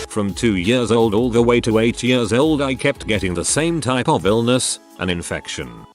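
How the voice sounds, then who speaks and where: A man narrates a string of online posts in a steady, even read-aloud style. His voice sits on the low side. Soft music plays faintly beneath him. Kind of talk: scripted narration. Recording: soft music bed behind voice. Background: faint background music.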